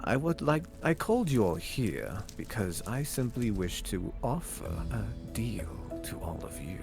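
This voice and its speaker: A man playing a formal, grandiose host of a villains' gathering in a Minecraft roleplay, with measured theatrical phrasing. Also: mid-range voice, sing-song, vocal fry, over music.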